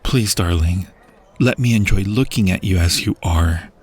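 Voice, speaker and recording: A man playing a dying, war-weary king and loving husband in a fantasy audio roleplay, husky, tender and broken, with archaic phrasing. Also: low voice, normal speed, darkish tone. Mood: tender, longing. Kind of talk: fantasy ASMR roleplay acting.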